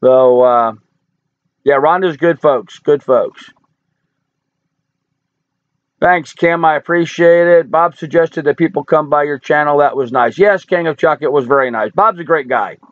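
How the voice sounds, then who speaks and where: Hoarse older man, chatty stream host. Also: a little high, normal speed, no echo, lo-fi sound. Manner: chatty, greets viewers by name, folksy. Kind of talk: casual live chat reading viewer comments. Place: home in rural Alaska.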